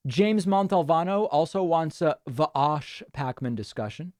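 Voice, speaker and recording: A man's voice: fairly high pitch, lively intonation, a little slow, a little mellow, no echo. A man hosting a casual livestream, chatting and thinking aloud as he reads out viewer comments on a close microphone. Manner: chatty, thinking aloud with restarts and small laughs. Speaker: host.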